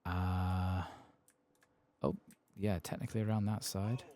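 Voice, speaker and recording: A soft-spoken man murmuring relaxed ASMR commentary to himself as he plays a game. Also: deep voice, slow, dry recording. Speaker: game streamer. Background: keyboard typing and clicking.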